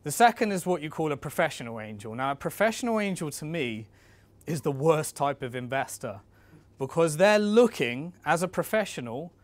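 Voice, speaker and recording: A man presents in an informal, instructional style, talking straight to his listeners like a coach. His voice sits a little higher than average for a man. The sound is dry, with very little room around it. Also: very animated, moderate pace, no room sound. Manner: conversational, coaching, addresses listeners directly. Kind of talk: informal instructional talk on raising investment.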